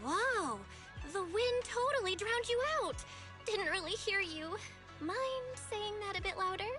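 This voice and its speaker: Casting: gentle, coy young anime game heroine, very high bright voice, unhurried, music underneath. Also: female voice. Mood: teasing. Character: gentle, teasing, slightly flustered young woman in anime game.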